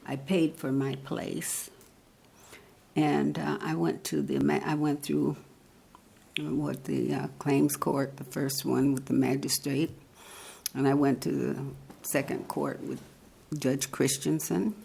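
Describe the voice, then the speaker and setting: A woman in her eighties, speaking at a council meeting's public comment, halting and earnest as she searches for words. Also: a bass voice, little pitch movement, gravelly, warm tone, slightly rough. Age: 80s. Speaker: resident giving public comment. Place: council chamber podium.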